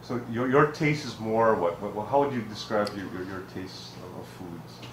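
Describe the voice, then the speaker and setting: A man's voice: on the low side, normal speed. A man interviewing an elder for an oral history, probing with frequent restarts and backchannels. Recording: echoey room with faint hiss.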